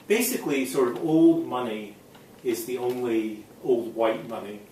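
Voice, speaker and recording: Male interviewer, medium pitch, wry conversational delivery, roomy distant recording. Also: unhurried, darkish tone. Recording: echoey room, off-mic, faint noise.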